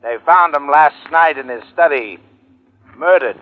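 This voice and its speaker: A man's voice: fairly high pitch, a little slow, natural voice. An actor playing a chatty, businesslike insurance man on a call in a 1950s radio drama. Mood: brisk, chatty. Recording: dull, lo-fi old radio recording.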